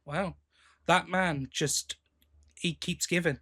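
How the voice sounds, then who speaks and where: A man's voice: on the high side, fairly even intonation, takes it slowly, a bit bright, breathy edge. A man co-hosting a podcast, chatting casually about news. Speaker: podcast co-host. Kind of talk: casual chat about sci-fi audio drama news.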